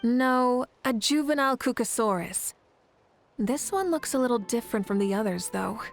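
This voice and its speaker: A woman playing a calm, self-assured leader who speaks in an unhurried, gently teasing way. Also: on the high side, soft background music.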